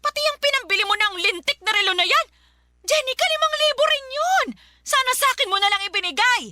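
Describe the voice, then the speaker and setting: A domineering middle-aged Filipino mother in a radio melodrama. Her voice is very high and piercingly bright, swinging widely as she nags and accuses, suspicious of everything. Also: expressive, brisk, no room sound, studio quality, heavily acted. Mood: angry, accusing. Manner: nagging, accusing, sharp-tongued. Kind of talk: melodramatic radio drama acting.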